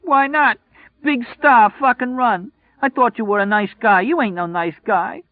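Guy doing a loud whiny bronx girl, high squawky voice, new york accent, kinda dull dry audio. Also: very animated, a little fast, no room sound. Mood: screaming, tearful. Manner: shrill, whiny, yelling. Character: strident young woman from the Bronx.

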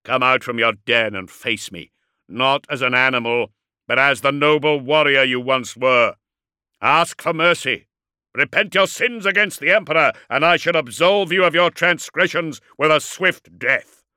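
A man voicing a proud, wrathful ancient warrior entombed in a war machine, booming and roaring slowly in an audiobook. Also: expressive, sharp tone, dry recording, clean studio sound, heavily acted. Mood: commanding fury. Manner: slow, declamatory, indignant. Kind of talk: audiobook character acting.

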